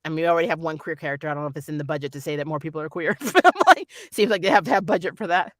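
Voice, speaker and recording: A host chatting casually through a fan review, animated and blunt, with plenty of slang. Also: mid-range voice, rapid-fire, dry recording, clean studio sound. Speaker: review host reading viewer comments.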